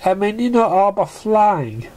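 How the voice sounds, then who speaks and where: A storyteller voicing an excitable, silly, friendly young female pink pony with a high, squeaky, gushing voice for a fan story. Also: moderate pace, lightly acted. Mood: sheepish confession. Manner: gushing, emphatic, exclamatory.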